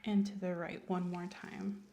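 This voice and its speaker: A soft-spoken woman doing an ASMR medical exam roleplay, often dropping to a whisper as she gives gentle, reassuring instructions. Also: fairly low pitch, flat delivery, measured pace, a little hiss or hum. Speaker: ASMR creator playing a doctor.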